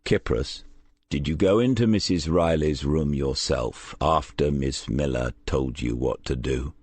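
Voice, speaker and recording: A man voicing a friendly but quietly insistent English police detective in an audiobook, questioning in a measured, conversational way. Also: a bass voice, husky, dry recording, exaggerated voice. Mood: probing.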